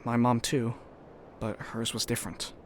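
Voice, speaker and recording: Male actor as loyal young spacefarer, natural mid-pitched voice, earnest and measured, slight ambience behind. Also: little pitch movement, slight background noise, close to an everyday voice. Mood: somber. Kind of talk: audio drama acting.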